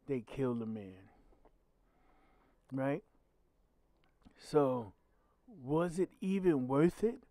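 A man's voice: mid-range voice, dark tone, no room sound. A man with a growly voice, hosting his own channel and giving blunt, emphatic commentary with swearing. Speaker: channel host. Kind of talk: solo commentary podcast.

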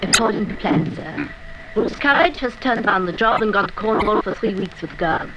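A woman's voice: mid-range voice, lively intonation, moderate pace, muffled recording, natural voice. Woman playing formal villain's secretary, noisy old recording. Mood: dutiful, formal. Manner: crisp, formal, dutiful. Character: efficient, deferential female villain's secretary. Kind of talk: 1960s radio thriller acting. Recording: noisy damaged 1960s flexidisc recording.

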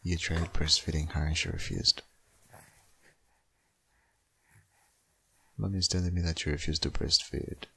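A man playing a caring, sleepy young father and ex-boyfriend in an ASMR audio roleplay, gentle and hesitant, with a low husky voice. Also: fairly even intonation, fairly quick, full character voice. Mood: gentle, concerned.

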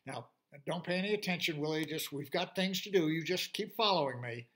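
A man playing a patient, gentle unicorn. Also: fairly high pitch, lively intonation, fairly quick. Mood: coaxing. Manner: patient and coaxing. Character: calm, gentle male unicorn guide.

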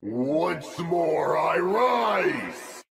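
An actor playing a tormented undead giant warrior in a game, anguished and pleading. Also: male voice, a little high, expressive, extremely slow, creaky, heavy background noise, full character voice. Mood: anguished, desperate. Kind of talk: game character voice lines. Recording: echoing hall reverb, loud music behind.

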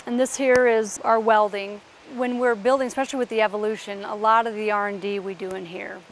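Woman tour guide, mid pitch, explanatory, faint workshop noise behind her. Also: fairly even intonation, a little fast, rough recording. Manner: explanatory, points out parts as she walks. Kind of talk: walking factory tour. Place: large aircraft workshop indoors.

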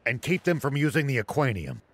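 An actor playing a stern, steady old veteran soldier in a video game, gruff and measured. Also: male voice, medium pitch. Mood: grave, steady. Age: older. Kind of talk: video game cutscene acting.

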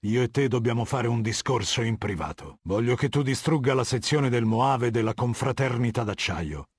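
Video game warlord, middle-aged. Husky, imperious, measured authority; dry studio sound. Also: male voice, mid-range voice, lively intonation, no echo. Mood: measured, stern. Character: cold, commanding middle-aged male warlord of a legion. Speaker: voice actor.

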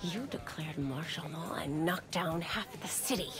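A woman's voice: deep voice, normal speed. A woman playing an assertive, exasperated public official in a video game, firm and cutting. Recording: music and crowd behind.

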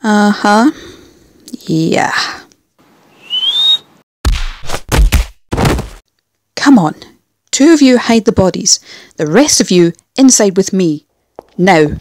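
Woman acting a slow, smug villain leader. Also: medium pitch, lively intonation, darkish tone, slight background noise. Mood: sardonic, bossy. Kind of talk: audio drama acting.

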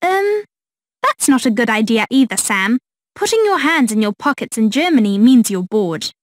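A woman voicing a young woman back from holiday, explaining customs in a bright way. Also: a little high, very animated, normal speed, no room sound, studio quality. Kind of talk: scripted textbook dialogue.

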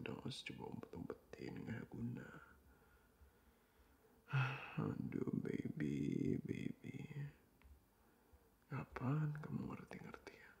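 A young man in a boyfriend roleplay, talking softly and coaxingly in a half-whisper, dropping English phrases into his speech. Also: mid-range voice, vocal fry.

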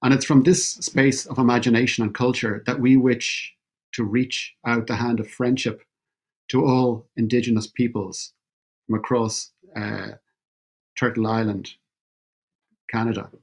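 A man, opening an online event over a video call, measured and halting with fillers. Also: mid-range voice, fairly even intonation. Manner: measured, with many fillers and restarts. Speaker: event host. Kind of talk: formal opening speech. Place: indoor room at home. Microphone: video call webcam.

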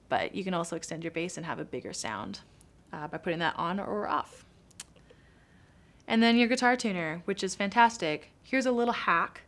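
A woman's voice: medium pitch, a bit bright. A young woman casually walking through a device's menu settings in a small studio, chatty and upbeat, gesturing as she explains. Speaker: product demo presenter. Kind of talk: casual product walkthrough. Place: small studio room with acoustic foam.

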